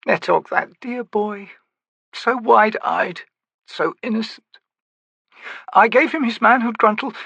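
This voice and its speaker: A man voicing a grief-stricken woman mercenary, his voice pitched far up and cracking with anguish. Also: takes it slowly, vocal fry, long pauses, bright, forceful tone, breathy edge, no echo, heavily acted. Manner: raw, breaking, anguished. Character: grieving battle-worn woman mercenary.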